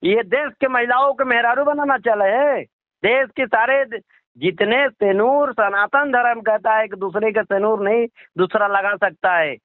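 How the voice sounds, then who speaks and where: A man's voice: high voice, measured pace, no echo. An Indian man, speaking indignantly in a political phone interview, repeating himself and posing rhetorical questions. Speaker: political activist being interviewed. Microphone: phone call. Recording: muffled lo-fi phone call recording.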